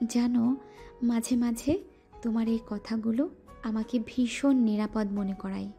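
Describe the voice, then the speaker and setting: A woman playing a playful, affectionate young wife in a romantic audio drama, teasing and pouting, with soft music underneath. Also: on the high side, steady tone, a little slow.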